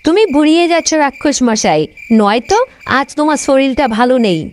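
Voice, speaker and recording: A woman's voice: high voice, animated, moderate pace, a little hiss or hum. A woman voicing a young, fretful princess in a fairy-tale cartoon, speaking in a theatrical, plaintive way. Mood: teasing.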